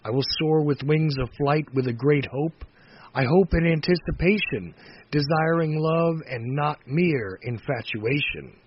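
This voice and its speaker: A man reciting poetry dramatically, in a solemn, measured manner that moves between hushed phrase endings and emphatic phrases. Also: on the high side, expressive, husky, dry recording. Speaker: poetry reader. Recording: dull lo-fi recording with faint noise.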